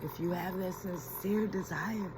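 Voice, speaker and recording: A woman's voice: lowish voice, unhurried, very noisy. A woman giving a reflective inspirational talk as a spiritual healing coach, repeating key phrases and laughing as she retells a story.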